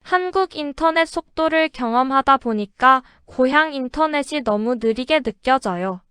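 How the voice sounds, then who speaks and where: A woman reading example sentences slowly and carefully for a shadowing lesson. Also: sits high, no room sound, studio quality. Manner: careful, even, deliberate delivery. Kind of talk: slow, clear model-sentence reading for shadowing.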